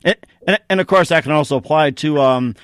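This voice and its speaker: A man hosting a casual interview, speaking in halting, choppy phrases with repeated tag words. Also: a little high, a bit bright, clean tone. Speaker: interview show host.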